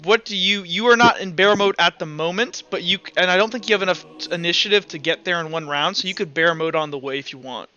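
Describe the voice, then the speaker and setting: A man acting as game master in a tabletop roleplaying game, narrating and refereeing in a casual, halting way with self-corrections. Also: high voice, sharp tone, lo-fi sound.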